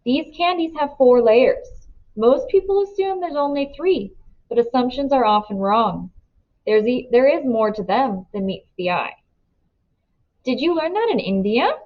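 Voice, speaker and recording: A woman's voice: sits high, expressive, measured pace, muffled recording. A woman voicing a smug, clever schoolboy in a children's novel, with formal, emphatic phrasing. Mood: proud, calm. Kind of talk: children's novel read aloud.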